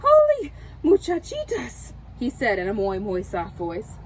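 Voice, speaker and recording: A woman reading a children's story aloud in an expressive, dramatic way, with Spanish words sprinkled in. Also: medium pitch, moderate pace, slight background noise. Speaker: storybook reader. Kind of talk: animated children's read-aloud.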